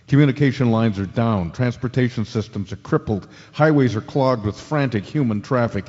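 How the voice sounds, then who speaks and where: A man acting as a steady radio news anchor during an alien invasion in a radio drama. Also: medium pitch, natural voice. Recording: dull lo-fi audio with long reverb.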